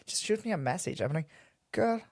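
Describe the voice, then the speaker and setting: Hungarian-accented man, young, cheeky podcast banter, very animated pitch. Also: a little fast, creaky, no room sound, studio quality. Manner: playful, blunt and teasing. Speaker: podcast co-host. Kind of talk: casual podcast chat.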